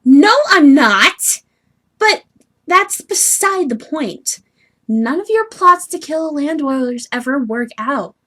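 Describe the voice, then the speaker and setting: A woman voicing an earnest, bubbly young female troll heiress from the sea, with expressive scolding, in an amateur audition style. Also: sits high, slow, full character voice. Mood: exasperated, concerned. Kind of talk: amateur animated-webcomic voice audition acting.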